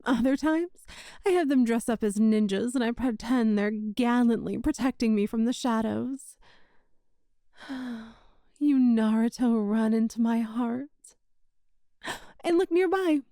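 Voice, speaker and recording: A woman's voice: fairly high pitch, a little slow, long pauses, mellow, no echo, clean studio sound. A woman playing a sweet, possessive young witch shopkeeper in an ASMR roleplay, with a coy, doting, teasing manner. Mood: gleeful, doting. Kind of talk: ASMR romantic roleplay audio.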